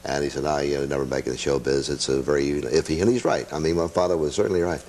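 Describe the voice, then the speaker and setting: A man's voice: very deep voice, steady tone, fast, a little mellow. An older man chatting casually as a guest, telling anecdotes in a folksy, self-deprecating way. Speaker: interview guest, veteran radio broadcaster.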